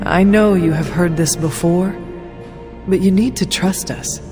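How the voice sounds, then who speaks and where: An actress playing an earnest, resolute young warrior heroine in a video game cutscene, speaking formally in measured phrases. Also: female voice, on the low side, natural voice. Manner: formal, measured, few contractions. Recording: clear music behind voice.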